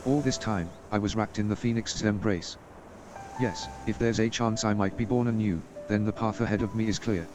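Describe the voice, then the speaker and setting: A man's voice: lowish voice, fairly even intonation, moderate pace, natural voice. An actor playing a timid young male adventurer, speaking earnestly and hesitantly, with game music behind him. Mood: resolved. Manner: earnest, hesitant, self-reproaching. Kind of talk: game voice acting.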